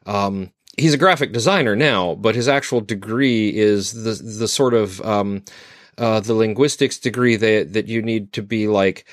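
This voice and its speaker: A man's voice: on the low side, a little slow, no echo, studio quality. A man hosting a podcast, chatting casually and giving frequent short acknowledgments. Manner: friendly, frequent backchannel mm-hmms. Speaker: podcast host. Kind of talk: casual conversation.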